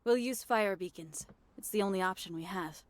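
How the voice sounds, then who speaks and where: An actress playing a steadfast, fierce young female soldier in a video game drama, with a firm, deliberate delivery. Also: medium pitch, close to an everyday voice. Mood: matter-of-fact.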